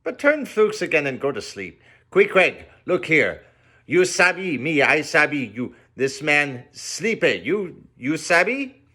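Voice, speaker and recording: A man's voice: fairly high pitch, big pitch swings, slow, bright, forceful tone, pitched above the performer's own voice, full character voice. A man voicing a grinning, easygoing male innkeeper with a folksy, drawling way of talking. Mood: teasing.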